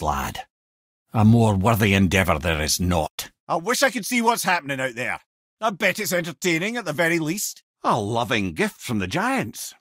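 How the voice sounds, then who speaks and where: A man's voice: mid-range voice, fairly bright tone, husky, dry recording, studio quality, exaggerated voice. A man voicing a wise, witty old talking head in a video game, with a Scottish accent and an eloquent, wry storytelling manner. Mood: earnest. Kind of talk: game character dialogue and storytelling.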